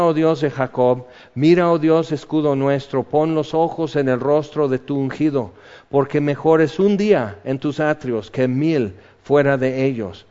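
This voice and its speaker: A man's voice: medium pitch, a little mellow, clear, resonant tone. A man preaching a sermon in a conversational way, reading psalm verses aloud. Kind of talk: sermon, Bible teaching. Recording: dull reverberant hall recording.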